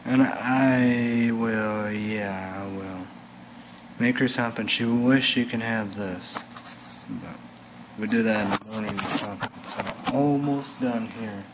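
A young man walks through a recipe in a home kitchen, talking slowly and rambling, often stopping to correct himself. He is picked up by a camera across the room, so the sound is roomy, with a loud hiss under it. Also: fairly low pitch, steady tone. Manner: rambling, halting, self-correcting. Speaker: home cooking vlogger. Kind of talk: casual cooking demo to camera. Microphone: camera mic from across the room.

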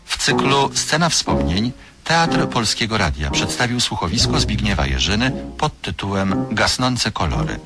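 A man working as a radio announcer, reading scripted credits in a measured, formal manner. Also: on the low side, sing-song, over loud music.

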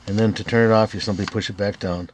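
A man's voice: lowish voice, fairly even intonation, fast, slight background noise. A man in his fifties presenting a product review in a plain, step-by-step instructional way. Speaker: video host, gear reviewer. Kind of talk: product review narration to camera.

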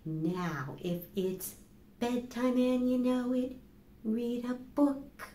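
A middle-aged woman hosting a children's storytime, speaking slowly and deliberately to young listeners as she reads and asks questions. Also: fairly high pitch, mellow. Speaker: library storytime host. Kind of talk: children's storytime, reading picture books aloud. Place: small plain indoor room. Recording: echoey room with faint background noise.

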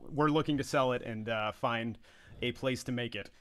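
A man with a mid-pitched voice talks casually as co-host of an online table read. His delivery is easygoing and conversational, with pauses between phrases. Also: crowd noise. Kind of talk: casual, conversational group chat. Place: indoors on a video call.

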